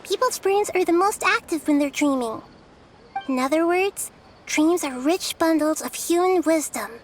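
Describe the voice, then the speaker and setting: A woman voicing a small, girlish deity in a video game, a wise and gently playful teacher with a measured, explanatory way of talking. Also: very high voice, slight background noise. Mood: instructive. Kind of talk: video game cutscene acting.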